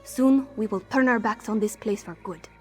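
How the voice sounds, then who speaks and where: A woman acts out a scrappy female cat-folk thief from a fantasy game, her voice on the high side. She is anxious and defensive, with stiff, formal phrasing hardened by life on the street. Music plays behind her. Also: normal speed, close to an everyday voice. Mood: proud, hopeful. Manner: refers to herself in third person, formal contraction-free phrasing. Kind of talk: fantasy game character dialogue.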